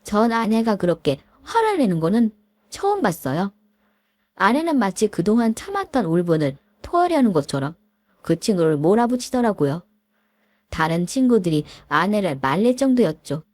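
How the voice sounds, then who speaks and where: A woman voicing a middle-aged husband who calmly retells how his wife fought an old classmate, in polite storybook narration. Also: medium pitch, no room sound. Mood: recounting. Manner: measured, recounting in polite speech.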